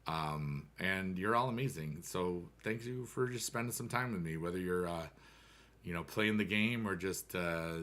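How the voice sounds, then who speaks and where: A man's voice: deep voice, normal speed, a bit bright. An American man in his thirties chatting casually with viewers on a game stream, rambling and upbeat with playful rhymes.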